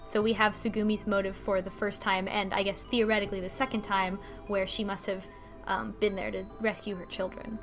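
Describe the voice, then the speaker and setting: A woman's voice: mid-range voice, flat delivery, fairly quick, music in the background. A woman casually recapping a visual novel on a podcast, with lots of ums and restarts. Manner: rambling, many ums and restarts, laughs often.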